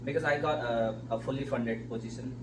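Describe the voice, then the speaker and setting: Soft-spoken man from Nepal, echoey room. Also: medium pitch, steady tone, fairly quick. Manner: hesitant, many fillers and restarts, soft-spoken. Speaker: interview guest, civil engineer. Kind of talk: casual interview answers. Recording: echoey room, distant mic, noisy hum.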